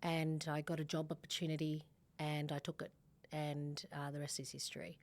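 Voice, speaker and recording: A middle-aged Australian woman with a notably low voice, speaking as a podcast guest. She talks in a relaxed, chatty way, full of ums and self-corrections as she recalls her story. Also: normal speed. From Sydney, Australia. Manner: warm, chatty, many fillers and restarts. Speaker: guest, family law partner. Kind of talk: casual podcast interview.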